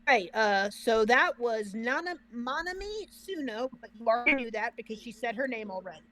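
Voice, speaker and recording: A woman with a high-pitched voice talks as a reaction co-host. Her delivery is casual and conversational, with dry, joking remarks. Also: big pitch swings, normal speed, a bit bright.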